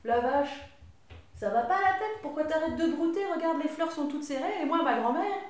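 Woman doing a lively curious farm boy. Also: sits high, normal speed, recorded from a distance, voice pushed up. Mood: eager. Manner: eager, questioning. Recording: very echoey room.

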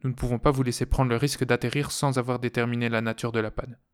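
A man's voice: mid-range voice, level tone, quick pace, clear, resonant tone, close to an everyday voice. A man voicing a firm mission control operator in an audiobook, formal and blunt. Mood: firm, stern.

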